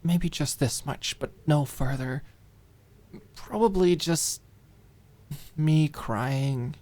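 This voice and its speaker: Audiobook drama role: guarded, low-spirited young woman, halting, evasive, easily frustrated woman performer. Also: mellow. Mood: hesitant, evasive. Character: Alicia, guarded, depressed young woman hiding vulnerable feelings. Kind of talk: dramatic audiobook character acting.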